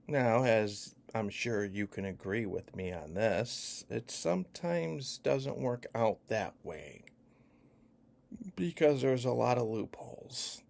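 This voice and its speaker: A man from Chicago giving an emphatic, profane opinion rant that swings between hushed and loud. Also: medium pitch, expressive, takes it slowly, gravelly. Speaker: vlogger.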